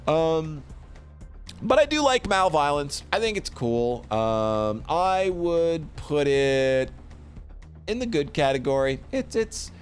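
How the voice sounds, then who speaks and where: A man's voice: a little high, big pitch swings, takes it slowly, soft background music. A man giving a casual, unscripted review as a streamer, often repeating and restarting phrases as he thinks aloud.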